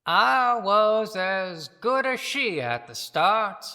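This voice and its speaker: A woman's voice: mid-range voice, very animated, very slow, sharp tone, exaggerated voice. A woman voicing a resentful, overlooked small-town husband in a theatrical audio play monologue, with long reverb.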